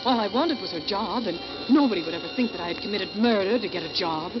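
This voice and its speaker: An actress playing a bitter, desperate failed actress secretly plotting murder, narrating in a brooding, confessional 1940s radio thriller style. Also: female voice, mid-range voice, animated, quick pace, lightly acted. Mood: cold, desperate. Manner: brooding, dramatic, confessional delivery. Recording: muffled vintage radio recording with loud music.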